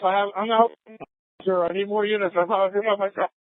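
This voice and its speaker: High voiced guy cop reporting in on a thin crackbox scanner radio. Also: steady tone, a little fast, dry recording, narrow, tinny audio. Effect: police radio. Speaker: police officer. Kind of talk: police radio traffic.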